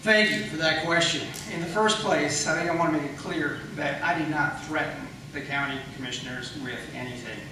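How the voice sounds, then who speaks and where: A man's voice: a little high, fairly quick, hardly any pauses. A man running for office, giving emphatic, insistent answers in a campaign debate. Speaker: political candidate, incumbent county attorney. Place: public debate in an echoey indoor room, mic at a distance. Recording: echoey room, distant mic, faint noise.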